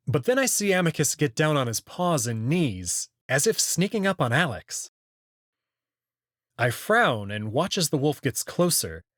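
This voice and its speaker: A man's voice: mid-range voice, fairly bright tone, no echo, clean studio sound. A young adult man narrates a visual novel in the first person. His mid-range voice moves expressively up and down, with music running underneath. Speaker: narrator and protagonist reader.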